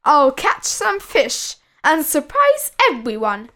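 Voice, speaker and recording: Young boy played by a woman, very high voice, nervous and earnest, children's adventure tale. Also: huge pitch swings, slow pace, sharp tone, full character voice. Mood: hopeful. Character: timid, hopeful young boy out fishing among pirates. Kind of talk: children's storybook character voice.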